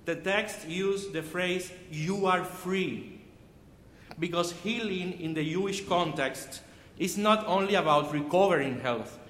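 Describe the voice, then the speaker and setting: A man from Cuba with a Cuban Spanish accent, whose first language is Spanish, preaching a sermon in an earnest, measured way and often restarting words. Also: sits high, fairly bright tone, a little hiss or hum. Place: church sanctuary. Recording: echoey hall from far off.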